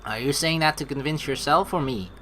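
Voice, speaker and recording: A man doing game commentary as a let's player, reading narration and lines aloud in an animated, rambling, informal way. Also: fairly high pitch, fairly quick, a little hiss or hum.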